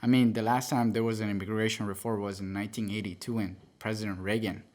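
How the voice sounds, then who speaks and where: A young man from El Salvador speaks as a podcast guest in a lowish voice. He tells his story earnestly and casually, and he stops often to restart and correct himself. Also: level tone, fast talker, darkish tone. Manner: earnest, with many fillers and self-corrections.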